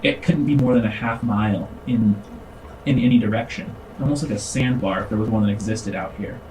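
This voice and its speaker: A man's voice: fairly low pitch, a little mellow, slight background noise. Male game master narrating, slightly distant mic. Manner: halting, informal, descriptive. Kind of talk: improvised tabletop game narration. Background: music.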